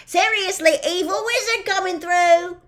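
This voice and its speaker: A woman voicing a boisterous, teasing teenage boy in a storybook, proclaiming loudly with mock drama. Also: very high-pitched, measured pace, voice pushed up. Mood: gleeful teasing.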